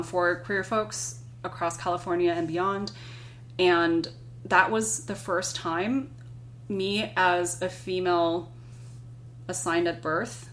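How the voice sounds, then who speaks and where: A non-binary person in their thirties, a podcast guest, speaks earnestly and reflectively, telling a personal story in a measured way. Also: mid-range voice, fairly bright tone, a little hiss or hum. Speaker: podcast guest, climbing guide. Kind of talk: conversational interview.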